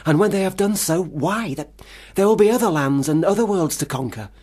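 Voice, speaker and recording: An actor in a radio play, a man, playing a failed, self-pitying young gentleman writer with formal, wordy diction. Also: sits high, lively intonation, fairly quick, husky, no room sound. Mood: boyish, boastful. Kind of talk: radio play monologue and dialogue.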